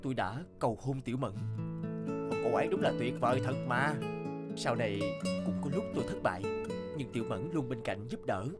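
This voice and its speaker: A man's voice: mid-range voice, brisk, over loud music, close to an everyday voice. A man voicing an earnest, humble young male hero in a cartoon, in both dialogue and storytelling. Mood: reflective, sincere.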